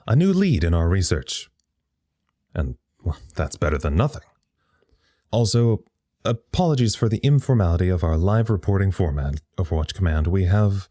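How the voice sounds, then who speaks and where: A man playing a wry, tired research scientist reporting live in an audio drama, halting and understated. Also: low voice, warm tone, no echo, studio quality. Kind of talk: audio drama acting as a live radio log.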